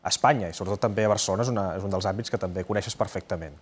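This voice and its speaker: A Catalan man hosting a TV talk show, asking brisk questions of a guest in a studio. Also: lowish voice, husky. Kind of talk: interviewing a guest. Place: television studio.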